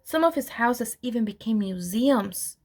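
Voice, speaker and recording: A woman reading a children's storybook aloud in an expressive storyteller's manner, with deliberate pauses. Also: on the high side. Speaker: program administrator reading aloud.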